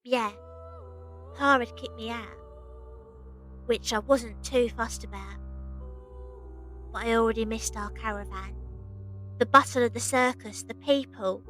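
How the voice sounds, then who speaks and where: A woman's voice: a little high, unhurried, pauses often, sharp tone, faint music behind. A woman playing a young, weary, plain-spoken circus runaway as narrator and lead of an audio drama. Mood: wistful.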